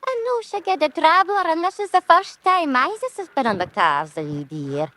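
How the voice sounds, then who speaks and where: A woman's voice: very high-pitched, big pitch swings, moderate pace, pushed and bright, no echo, full character voice. A woman playing a fussy, anxious old lady with a Scottish accent in a radio drama, chattering and repeating herself. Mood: fussy, apologetic.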